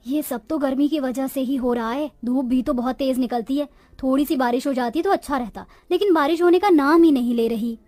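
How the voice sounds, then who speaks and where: A woman's voice: high voice, a little fast, few pauses, warm tone, dry recording, soft background music, close to an everyday voice. A woman voicing poor, resourceful village women in a Hindi cartoon moral story, with a dramatic, complaining manner. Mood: alarmed. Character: village women of a poor family, resourceful daughters-in-law and their mother-in-law.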